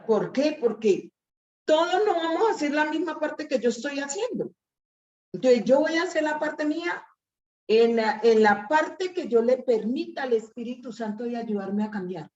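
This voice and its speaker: A woman in a church group speaking in an earnest, deliberate way. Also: sits high, animated, a little mellow. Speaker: church member. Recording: distant echoey room, muffled.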